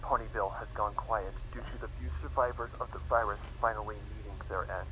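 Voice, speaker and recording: A man's voice: lowish voice, steady tone, fast talker, bright, forceful tone, poor audio. A man reading as an anxious, weary young female pony scholar in a dramatic fan fiction audio log. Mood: despairing.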